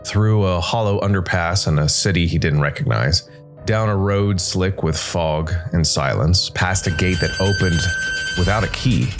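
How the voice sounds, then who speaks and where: A man hosting a podcast and reading scripted story narration. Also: low voice.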